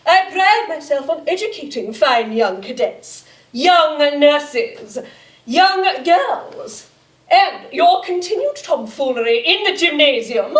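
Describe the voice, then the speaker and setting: A woman's voice: very high-pitched, fairly bright tone, voice pushed up, heavily acted. A woman playing a stern, pompous matron in a comic stage performance, indignant and over-enunciated. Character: stern, pompous battle-axe matron and headmistress. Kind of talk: comic film character on stage. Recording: echoey theatre.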